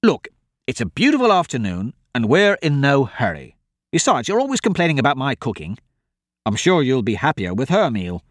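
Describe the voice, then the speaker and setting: A man's voice: mid-range voice, huge pitch swings, moderate pace, no echo, studio quality. Guy doing a friendly hopeful hero type for an audiobook, earnest, persuasive, voice bounces around a lot. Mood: upbeat, persuasive. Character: good-natured, optimistic male adventurer, earnest and helpful.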